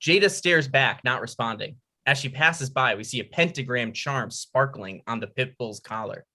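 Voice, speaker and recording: Casting: male script narrator, medium pitch, forward bright tone, reading scene headings and action. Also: normal speed. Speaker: narrator reading stage directions. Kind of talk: scripted narration at a table read.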